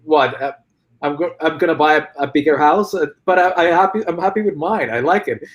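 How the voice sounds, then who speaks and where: A man speaking as a guest on a live talk, reflective and measured. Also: a little high. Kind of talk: interview answers.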